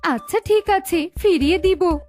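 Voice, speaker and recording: A woman's voice: very high voice, measured pace, music in the background. A woman voicing a greedy, pushy, envious female bird in a children's cartoon moral story, demanding and boastful.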